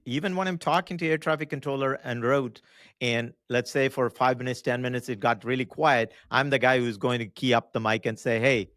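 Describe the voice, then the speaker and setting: Guy hosting a chatty interview, average pitch, super dry close recording. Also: fairly bright tone, no echo. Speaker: host and pilot. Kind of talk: conversational interview.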